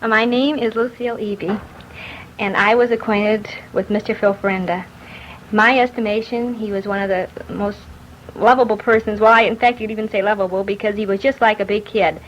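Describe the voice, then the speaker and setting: A woman giving earnest, slightly halting testimony as a witness. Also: medium pitch, steady tone, a little hiss or hum. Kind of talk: recorded personal testimony. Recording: dull old radio recording.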